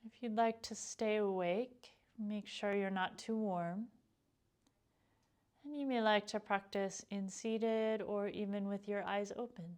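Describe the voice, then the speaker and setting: A woman leading a guided meditation as a teacher, speaking in a calm, gentle, unhurried way with pauses. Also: medium pitch. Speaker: yoga nidra meditation teacher.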